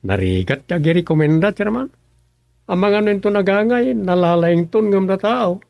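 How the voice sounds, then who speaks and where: A man's voice: sits high, lively intonation, moderate pace, vocal fry, darkish tone, no echo. An actor playing a dreamy, dozy village councilman in a rural comedy radio drama. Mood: earnest.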